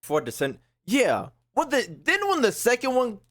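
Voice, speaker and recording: A man streaming casually to his chat, profane and slangy, thinking out loud as he talks. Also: very high voice, wildly swooping pitch, moderate pace. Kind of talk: casual live commentary to chat.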